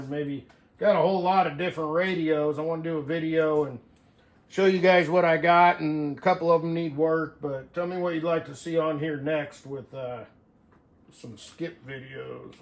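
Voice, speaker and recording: A man talking casually to viewers in a folksy, unscripted way, thinking aloud as he tinkers through a hands-on demonstration. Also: on the high side. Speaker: hobbyist CB radio vlogger.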